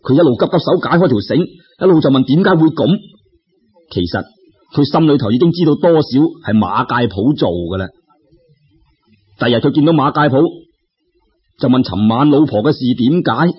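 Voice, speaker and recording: A man's voice: mid-range voice, animated, moderate pace, long pauses, husky. A man telling a folk tale in Cantonese, colloquial and dramatic, peppered with exclamations and sound words. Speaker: storyteller. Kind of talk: animated folk-tale narration. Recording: slightly dull, lo-fi audio.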